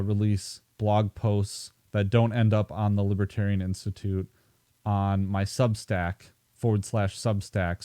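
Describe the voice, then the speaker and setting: A man's voice: lowish voice, warm tone, no echo. A man hosting a podcast, reading sponsor plugs in a casual way with some filler words, then asking his guest questions. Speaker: podcast host.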